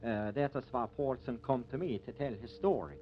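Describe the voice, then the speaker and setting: A man's voice: mid-range voice, fairly quick, a little hiss or hum, lightly acted. Man acting a folksy lawman, muffled old recording. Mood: matter-of-fact. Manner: ungrammatical folksy speech. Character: folksy male rural sheriff and gruff, defensive deputy. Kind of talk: 1950s radio acting.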